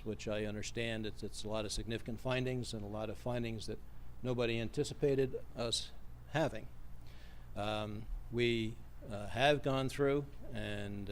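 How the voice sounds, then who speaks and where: A man presenting a report at a public meeting and explaining things informally. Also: medium pitch. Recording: faint background noise in meeting room.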